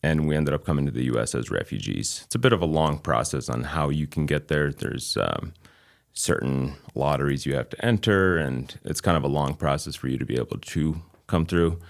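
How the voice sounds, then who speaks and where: A man in his thirties, a native Albanian speaker from Kosovo with a light New England accent, talking as a guest in a measured, reflective way with frequent pauses. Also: very deep voice, creaky. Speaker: guest chiropractor. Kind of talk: casual interview chat.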